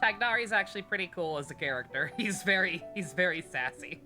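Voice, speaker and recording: A woman's voice: lowish voice, expressive, brisk. A woman live-streaming a game with casual, joking, sweary, rambling commentary. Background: game music.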